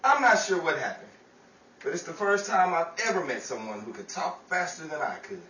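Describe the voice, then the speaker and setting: A man playing a bumbling, bewildered 1930s fast talker in a radio comedy, with theatrical delivery. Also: fairly high pitch, big pitch swings, bright, forceful tone. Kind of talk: old-time radio comedy acting. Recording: echoey distant stage pickup, noisy audience.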